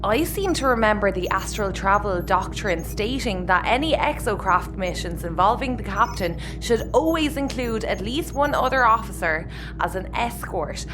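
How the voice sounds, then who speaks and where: A woman's voice: medium pitch, lively intonation, moderate pace, music in the background, natural voice. An actress playing a cheeky, mouthy young Irish crewwoman in a sci-fi audio comedy.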